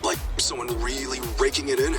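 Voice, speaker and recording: A man's voice: medium pitch, little pitch movement, fairly quick, over music, natural voice. A man playing a brash, good-natured yakuza hero in a video game, talking in an informal, blunt way. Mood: searching. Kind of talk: English video game voice acting.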